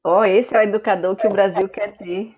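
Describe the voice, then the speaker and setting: A woman's voice: mid-range voice, fairly even intonation, fairly quick, a little mellow. Woman wrapping up an online seminar, super effusive, lots of "né", dull lo-fi call audio. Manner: effusive, thankful, full of fillers. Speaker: seminar host. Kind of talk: closing remarks in an online seminar. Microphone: video call.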